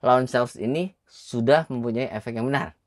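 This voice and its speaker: A man's voice: medium pitch, moderate pace, no echo, clean studio sound. A man explaining technical material informally, repeating phrases for emphasis and quizzing his listener as he goes. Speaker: audio engineering teacher.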